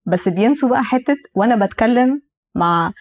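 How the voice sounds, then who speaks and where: A woman's voice: on the high side, lively intonation, brisk. A young adult Egyptian woman co-hosting a podcast, speaking reflectively and sometimes restarting her words. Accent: Egyptian Arabic. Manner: reflective, restarts words when searching for them. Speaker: podcast co-host. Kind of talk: casual podcast conversation.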